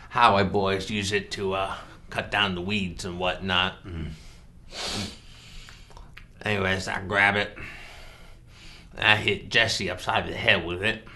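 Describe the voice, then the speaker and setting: A man performing a film monologue as a simple rural killer, halting and deadpan, grunting "mm" between short phrases. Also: sits low, gravelly, heavily acted. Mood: grim, deadpan. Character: slow, simple, flat-voiced rural killer confessing his past. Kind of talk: improvised film monologue reenactment.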